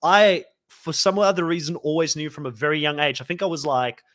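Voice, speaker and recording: A young man from South Africa who grew up speaking Afrikaans talks on a podcast in a loose, rambling way, full of likes and ums. His voice sits a bit higher than most men's. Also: fairly quick, fairly bright tone. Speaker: podcast co-host. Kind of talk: casual podcast chat.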